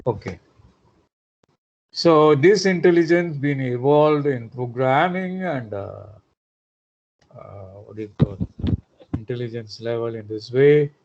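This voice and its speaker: A man giving an online lecture, pausing often on "uh" and asking rhetorical tag questions. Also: mid-range voice, muffled recording, noisy background.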